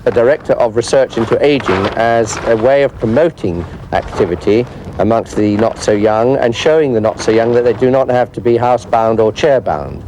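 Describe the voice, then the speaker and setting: A man's voice: mid-range voice, normal speed, background noise. A man explaining a club's work as its organiser, as if interviewed for a feature. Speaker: club organiser. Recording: music playing under the voice. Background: background music.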